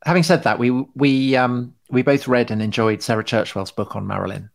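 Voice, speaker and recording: A man's voice: mid-range voice, steady tone, fairly quick, creaky. A middle-aged man co-hosting a podcast in casual chat, hesitant, circling back on his words with dry understatement. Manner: hesitant, repeats himself, dryly understated. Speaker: podcast co-host.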